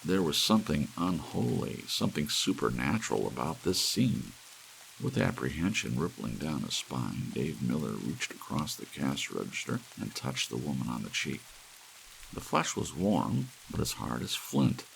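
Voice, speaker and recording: Very deep gravelly man narrating. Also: normal speed, rough recording. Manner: hushed ASMR storytelling with dramatic swells. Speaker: audiobook reader. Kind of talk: dramatic scripted audiobook narration. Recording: steady hiss of soft rain. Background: soft rain.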